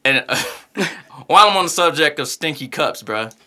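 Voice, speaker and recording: Man, bright voice, slightly high, tipsy, rambling casual banter, laughs often. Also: expressive, moderate pace. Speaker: podcast host.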